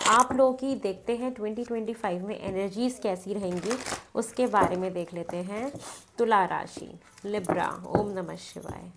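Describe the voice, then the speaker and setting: A woman's voice: mid-range voice, background noise. A woman with a cold and a blocked nose, giving a casual tarot reading to the camera. She talks in a chatty way, repeats her phrases and chants between the cards. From North India. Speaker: tarot card reader.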